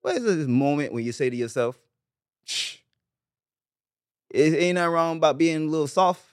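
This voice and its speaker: A man's voice: a little high, expressive, normal speed, clear, resonant tone, no room sound, clean studio sound. A man from Barbados, guest on a podcast, chatting casually and easygoing, often adding "you know what I'm saying.". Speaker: guest musician.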